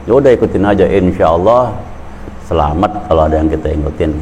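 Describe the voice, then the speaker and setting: Male preacher, low register, chatty sermon delivery with repeated phrases and rising emphatic lines; faint room ambience behind. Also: expressive, fast, a little mellow, a little hiss or hum. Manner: conversational, repeats phrases, builds to emphatic stresses. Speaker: Islamic preacher. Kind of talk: colloquial sermon at a weekly study gathering.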